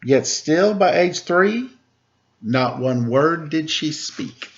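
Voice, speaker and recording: A man reading a rhyming picture book aloud, lilting and expressive in the verses, relaxed and chatty in the asides. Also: a little high, takes it slowly, highs cut off.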